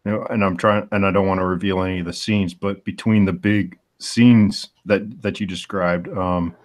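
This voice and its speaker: A man in his forties with a very low voice talks loosely about his craft as a comic artist. He works through his thoughts out loud, reaching for the right words as he goes. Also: fairly even intonation, normal speed. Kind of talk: casual chat on a live stream.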